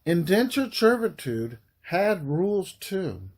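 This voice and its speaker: Male audiobook narrator, historical fiction. Unhurried, dramatic delivery with pauses and very lively intonation. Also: sits high, breathy edge. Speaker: author reading own book aloud.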